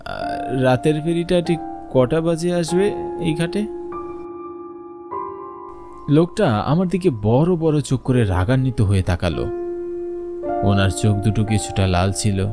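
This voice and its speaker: A man plays an earnest young peddler telling his own ghost story for a horror cartoon. His voice sits at a middle pitch and is dramatic and expressive. Music plays clearly behind him. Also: unhurried, long pauses, close to an everyday voice. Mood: suspenseful narration. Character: earnest young male cloth peddler narrating his ghostly ferry journey. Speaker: first-person narrator.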